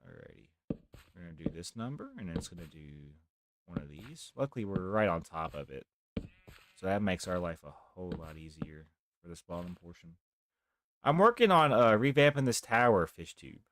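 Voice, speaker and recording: A young man with a deep voice, rambling softly through casual live gameplay commentary as a streamer. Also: expressive, normal speed. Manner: rambling, talks softly, near whispering.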